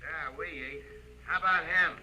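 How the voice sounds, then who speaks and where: An actor playing a griping veteran soldier in 1950s radio drama style. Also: male voice, on the high side, unhurried, over loud music, natural voice. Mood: grumbling. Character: griping veteran soldier nostalgic for real food.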